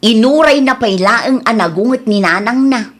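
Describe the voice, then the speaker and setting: A woman's voice: fairly high pitch, normal speed, lightly acted. A woman with an Ilocano Filipino accent playing a helpful, gossipy older-sister figure in a radio comedy drama. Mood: chatty, helpful.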